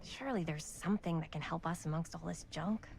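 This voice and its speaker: A woman's voice: fairly low pitch, brisk, creaky, a little mellow, a little hiss or hum. An actress voicing a determined young woman in a horror video game who insists, with some urgency, that the job has to be finished. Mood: urgent.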